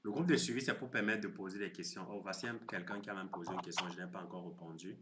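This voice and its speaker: A man in his thirties hosting a crypto live stream, chatting with viewers in a relaxed, teasing way and explaining his course. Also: deep voice, fast talker, background noise. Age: 30s. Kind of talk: casual live stream chat.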